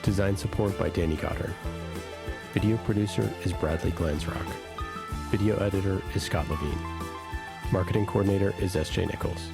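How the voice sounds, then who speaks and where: A man with a deep voice works as a podcast announcer. He reads scripted sponsor copy and credits. Music is clearly audible behind him. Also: normal speed.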